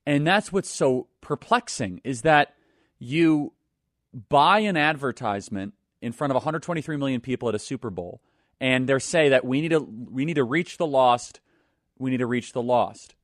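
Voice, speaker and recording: A man hosting a talk show, giving forceful, indignant commentary and introducing his guest. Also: medium pitch, expressive, normal speed, no echo, clean studio sound.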